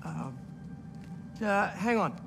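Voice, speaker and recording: Earnest, high-voiced young guy. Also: lively intonation, very slow, over music, exaggerated voice. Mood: anxious. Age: young adult. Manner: hesitant, pleading. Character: earnest, anxious, polite young man. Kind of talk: video game cutscene acting.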